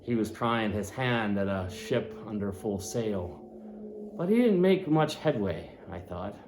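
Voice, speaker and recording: A man giving a dramatic, expressive reading of a classic novel, shifting his voice for effect. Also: on the low side, unhurried. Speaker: audiobook reader. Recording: roomy echo, faint ambient noise.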